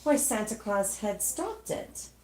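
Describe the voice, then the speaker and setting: A woman storyteller reads rhyming children's verse at an unhurried pace. Her pitch swings widely, and she leaves dramatic pauses between phrases. The room gives her voice a noticeable echo. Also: big pitch swings, warm tone, a little hiss or hum. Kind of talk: expressive read-aloud of a rhyming children's storybook.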